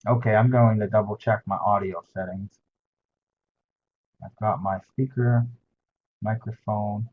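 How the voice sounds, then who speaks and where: A soft-spoken young man teaching a webinar tutorial, halting with frequent fillers as he walks through the steps, recorded through a webcam microphone. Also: lowish voice, steady tone. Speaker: teaching assistant. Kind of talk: webinar screen-share tutorial. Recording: muffled webcam audio with faint hiss.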